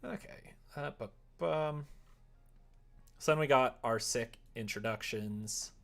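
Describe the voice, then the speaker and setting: A man's voice: mid-range voice, slow, vocal fry, a bit bright, soft background music. A man casually livestreaming as he works on a visual novel, rambling and muttering to himself with joking asides. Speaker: live streamer developing a visual novel. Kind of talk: casual livestream chat while working.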